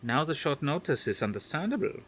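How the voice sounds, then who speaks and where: A man's voice: a little high, sing-song, brisk, a bit bright, a little hiss or hum, voice pushed up. A man reading an audiobook, voicing a stately grandmother near seventy with a faint Dutch accent who speaks firmly and formally. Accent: faint Dutch, as put on. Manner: firm, soothing, formal phrasing.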